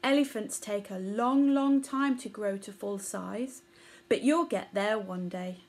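A woman voicing a gentle, wise mother elephant in a children's storybook, explaining things solemnly. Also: mid-range voice, measured pace, natural voice. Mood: gentle, reassuring.

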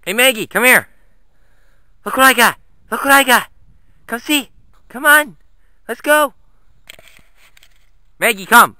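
A man's voice: very high-pitched, expressive, a little slow, pauses often, pushed and bright, clear, resonant tone, no echo. A man talking to his dogs in a sing-song, coaxing way while filming a walk through snowy woods on a handheld camera. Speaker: vlogger walking his dogs.

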